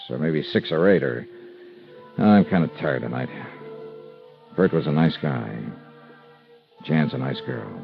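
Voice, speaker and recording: An actor playing a tired, hard-boiled insurance investigator in a 1950s radio drama, with a clipped, colloquial delivery. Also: male voice, sits low, vocal fry, exaggerated voice. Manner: clipped, colloquial, world-weary. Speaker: lead actor and narrator. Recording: muffled vintage radio broadcast with music.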